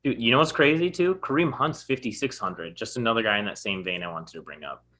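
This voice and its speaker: Low, forceful man in a casual chat. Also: fast talker. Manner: laid-back, brief remarks. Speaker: podcast co-host.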